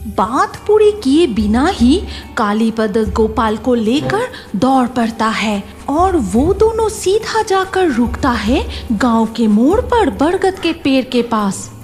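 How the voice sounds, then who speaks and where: A woman's voice: high-pitched, very animated, takes it slowly, darkish tone. A woman narrating a scripted horror story as the storyteller. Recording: music behind the voice.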